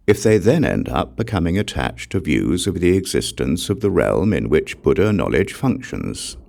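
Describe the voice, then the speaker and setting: Very deep-voiced man reading scripture. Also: creaky, darkish tone. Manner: measured, deliberate reading with formal phrasing. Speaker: audiobook narrator. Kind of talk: scripted narration of a Buddhist scripture.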